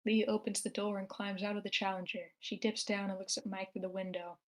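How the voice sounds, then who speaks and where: A woman narrates stage directions at a screenplay table read. Her pitch is medium and stays quite flat and even. The delivery is that of someone reading a script aloud. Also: brisk. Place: online meeting, own room.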